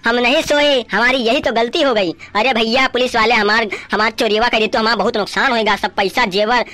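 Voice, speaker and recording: A man's voice: very high voice, steady tone, fairly quick, pushed and bright, no echo. A man voicing a poor, anxious old village uncle in a comedy cartoon, pleading wordily with a rural Awadhi accent. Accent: Awadhi-flavoured rural Uttar Pradesh. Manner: pleading, plaintive, wordy.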